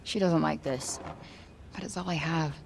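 Streetwise young American woman, deep for a woman, creaky, sardonic, weary, muttering to herself, video game character. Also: moderate pace, slight background noise. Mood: wry, weary. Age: young adult.

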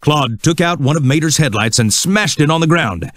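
A man's voice: fairly high pitch, expressive, moderate pace, no echo, studio quality. A man reading scripted narration for a children's read-along storybook.

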